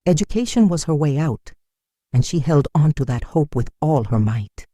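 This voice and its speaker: A narrator reading a scripted dramatic story in a measured storytelling manner. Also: mid-range voice, very animated, warm tone, dry recording, studio quality.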